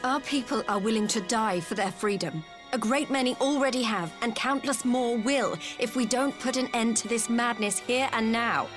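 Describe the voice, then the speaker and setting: An actress playing a passionate, forthright resistance leader, speaking earnestly in a game cutscene. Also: female voice, fairly high pitch, normal speed, few pauses, over music, natural voice. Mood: firm defiance. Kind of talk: formal scripted game cutscene dialogue.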